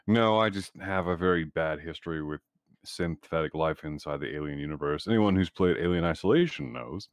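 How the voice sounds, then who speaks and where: A man's voice: low-pitched, lively intonation, brisk, clean tone. A man chatting casually during a tabletop game as one of the players. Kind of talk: casual chat.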